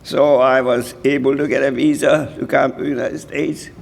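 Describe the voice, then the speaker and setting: A man in his nineties from Hungary giving an award acceptance speech, halting and slow, often clearing his throat. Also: mid-range voice, level tone. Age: 90s. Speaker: award recipient. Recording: reverberant hall.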